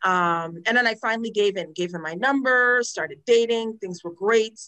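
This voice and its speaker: Woman, 40s, from Trinidad; interview guest and advocate; frank, earnest storyteller, mid-pitched voice. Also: moderate pace. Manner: frank, earnest storytelling with animated quoted asides. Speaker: guest, domestic violence advocate. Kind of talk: personal testimony in a podcast interview.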